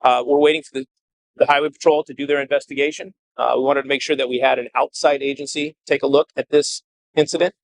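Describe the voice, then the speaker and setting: Male police chief doing a press briefing, earnest and careful, kinda halting, medium pitch, no room echo. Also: flat delivery. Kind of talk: press conference statement and answers.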